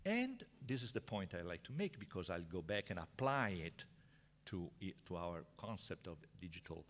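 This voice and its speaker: A man's voice: sits low, sing-song, moderate pace, fairly bright tone. An older man with an Italian accent gives a university seminar lecture, hesitant and chatty, with many fillers and restarts. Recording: reverberant lecture hall.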